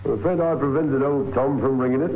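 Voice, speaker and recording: A man's voice: medium pitch, fast, lightly acted. A man acts a shrewd British police constable in a vintage radio crime play. He is suspicious and courteous, but firm. The recording is narrow and tinny, with some background noise. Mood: smugly triumphant. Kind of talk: old radio drama acting.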